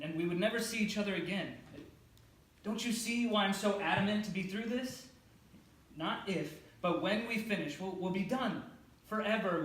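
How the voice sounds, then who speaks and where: A man acting a halting soulmate, high-voiced, very echoey. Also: lightly acted. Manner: halting, stammering, repeats words. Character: devoted, anxious reincarnated soulmate, man, apologetic and earnest. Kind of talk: staged play reading. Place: indoor stage, recorded from a distance. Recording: echoey, noisy room from far off.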